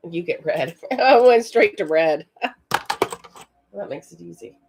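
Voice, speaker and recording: This is a woman giving a live psychic card reading on a stream. Her voice is raspy, and she speaks in a loose, chatty way, often stopping to answer viewers in the chat. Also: lowish voice, expressive, unhurried, noisy background. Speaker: psychic reader.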